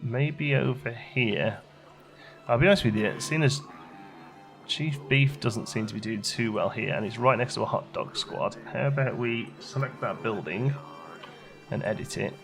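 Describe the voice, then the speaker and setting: A man's voice: medium pitch, measured pace, creaky, fairly bright tone, faint music behind. A man giving casual let's play commentary, chatty and thinking aloud as he plays. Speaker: gaming channel host.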